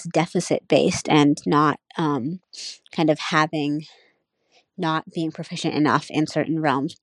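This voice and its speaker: Young adult woman, native Nepali speaker, low voice, musing student reflection, dry close recording. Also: no echo. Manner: thoughtful, searching, full of "um" and "like". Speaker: college student. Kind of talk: casual spoken class reflection.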